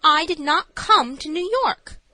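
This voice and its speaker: A narrator plays a stubborn young lady pretending to be mad in a storybook scene. She is haughty and insistent, her voice lifted high and bright. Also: big pitch swings, normal speed, no room sound, full character voice. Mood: stubborn, incredulous. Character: young woman feigning madness, stately and stubborn.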